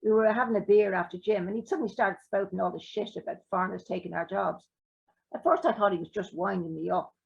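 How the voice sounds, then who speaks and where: A blunt working-class man who swears freely, read by a woman in her own voice. The voice is husky with a gravelly edge. The sound is a dull, lo-fi live recording. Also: medium pitch, fairly even intonation, quick pace. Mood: gruff, blunt. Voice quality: rough, sweary talk.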